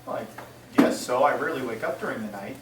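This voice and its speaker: An actor playing a hesitant, slightly defensive husband in an old-style radio mystery. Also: male voice, medium pitch, sing-song, fast, a bit bright. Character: hesitant, soothing husband roused at night. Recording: roomy, distant stage recording, faint noise.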